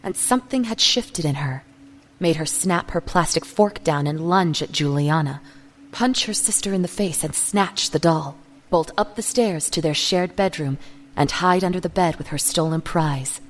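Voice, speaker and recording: Low-voiced woman narrating a drama. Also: moderate pace, slight background noise. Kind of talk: scripted dramatic story narration.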